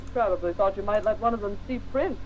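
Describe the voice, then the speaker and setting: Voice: medium pitch, fast talker, crowd noise, natural voice, rough recording. An actor playing a brash, eager newspaper photographer in a 1940s radio drama, on an old recording with people talking over it.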